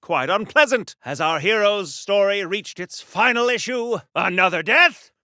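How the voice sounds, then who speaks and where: An actor playing a pompous, gung-ho American superhero from a golden age comic in a video game, declaiming grandly like a 1940s radio serial hero. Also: male voice, sits high, animated, measured pace, sharp tone, no room sound, studio quality, full character voice. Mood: indignant, pained. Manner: grandiose, theatrical, florid declamation.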